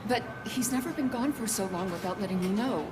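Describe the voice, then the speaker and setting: A woman's voice: mid-range voice, expressive, brisk, background noise, close to an everyday voice. An actress playing an anxious middle-aged mother in a video game, pleading and distressed. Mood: worried, pleading.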